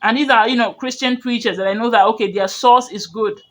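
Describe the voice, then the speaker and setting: High-voiced man. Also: fairly even intonation, brisk. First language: Yoruba. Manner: rambling, earnest, full of "you know" and restarts. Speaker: media commentator. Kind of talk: reaction commentary on video clips.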